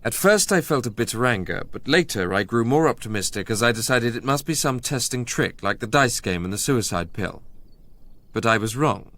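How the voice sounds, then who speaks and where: A man playing a brooding, proud young narrator in a radio drama, telling his story in measured literary phrases. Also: mid-range voice, animated, a bit bright, no room sound. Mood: bitter, resigned. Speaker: first-person narrator.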